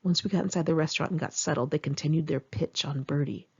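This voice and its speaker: Brief: middle-aged woman author, lowish voice, frank and wry memoir read, slightly dull home recording. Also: quick pace, breathy edge. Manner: candid, swearing, wry storytelling. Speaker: author reading her memoir. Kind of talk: one-take memoir audiobook reading. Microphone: home microphone.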